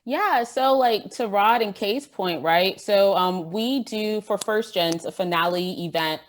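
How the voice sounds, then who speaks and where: A woman's voice: mid-range voice, measured pace. A woman speaking as a guest podcaster on a panel, talking in an enthusiastic, emphatic way and often checking in with "right?". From West African immigrant family. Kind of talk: lively panel talk about events. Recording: clear noise with clicking sounds.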